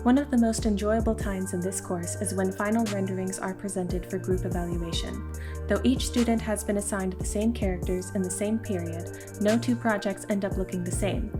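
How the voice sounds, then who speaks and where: A woman delivers scripted narration at a middle pitch. Her read is measured and formal, and music plays clearly behind her voice. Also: steady tone.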